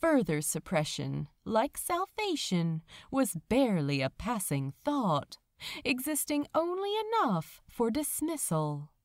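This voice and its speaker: Slow, expressive woman reading a story. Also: a little high, dry recording, clean studio sound. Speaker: fan fiction narrator. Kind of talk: dramatic scripted audiobook narration.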